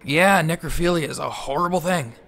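A young man playing a high-strung, sarcastic, foul-mouthed teenage schoolboy in a comedic audio drama, rambling and sardonic. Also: brisk, gravelly, a bit bright. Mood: dry, sardonic.

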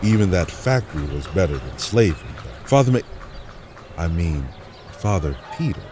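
Humble young priest, lowish voice. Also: male voice, very animated, warm tone, slight background noise. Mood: awed, humble. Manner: reverent, halting, sincere. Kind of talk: audio drama acting.

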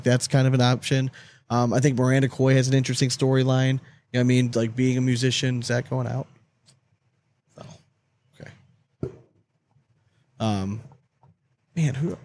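A man's voice: mid-range voice, monotone, brisk, pauses often, darkish tone. A man hosting a casual sports podcast, talking in a blunt, rambling, loose way with some swearing. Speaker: podcast host.

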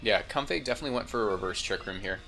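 A man with a fairly low but very bright voice gives casual game commentary, sounding a little congested. He thinks aloud in an informal way over game music. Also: expressive, racing, creaky. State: slightly congested. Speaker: gaming video host.

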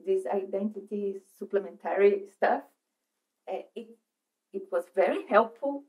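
A middle-aged woman from Brazil with a Brazilian Portuguese accent, presenting as a psychoanalyst in a meeting room, pausing and restarting as she searches for English words. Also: medium pitch, dark tone, rough recording. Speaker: psychoanalyst speaker. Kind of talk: presentation at a seminar. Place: meeting room, seated at a table.

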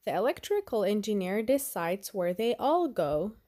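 A woman reading a children's picture book aloud in an expressive, deliberate storytelling style. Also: medium pitch.

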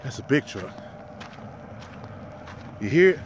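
A man in his thirties, a truck driver, chatting casually to his viewers in a handheld vlog, outdoors by a highway with the phone at arm's length. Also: very animated, takes it slowly, slight background noise. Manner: chatty, talks to viewers as "y'all", laughs easily. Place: outdoors in an empty desert town by a highway. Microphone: phone held at arm's length.

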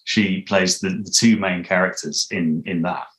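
A man's voice: sits low, steady tone, a little slow, a bit bright. A man in his thirties chatting casually as an interview guest, thoughtful and hesitant, often correcting himself. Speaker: interview guest, audio drama composer. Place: living room at home, on a video call.

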